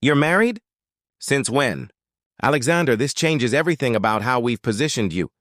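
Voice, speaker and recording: A man's voice: expressive, moderate pace, a bit bright, dry recording, studio quality, lightly acted. A man doing a pushy, gushing female art agent. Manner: pushy, gushing, raises pitch.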